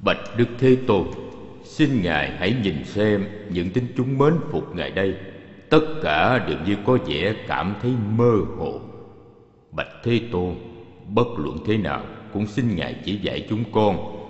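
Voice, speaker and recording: A man playing a humble, devout monk who speaks earnestly and formally, in an animated religious drama. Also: deep voice, a little slow. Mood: earnest pleading. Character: humble, devout male monk, senior disciple pleading. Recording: reverberant hall echo, slightly dull sound.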